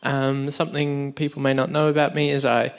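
A man's voice: mid-range voice, monotone, a little fast, clean tone. A young man who introduces himself on a church panel in a casual, friendly way. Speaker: panel speaker.

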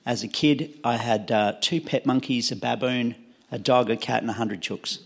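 A man with a mid-pitched voice speaks on a church panel. He introduces himself in a plain, matter-of-fact way. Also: moderate pace, gravelly.

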